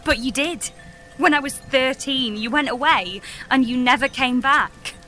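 A woman's voice: high-pitched, measured pace, music in the background. An actress playing a wounded, exasperated daughter in her thirties in an audio comedy, bluntly pressing for answers. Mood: hurt, aggrieved. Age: 30s. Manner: blunt, insistent, aggrieved. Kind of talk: audio comedy drama acting.

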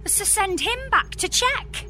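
A woman's voice: extremely high pitch, lively intonation, takes it slowly, bright, forceful tone, slight background noise, full character voice. A woman playing an exasperated, pleading space engineer with a dry, emphatic manner in a comedy audio drama. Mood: panicked, exasperated.

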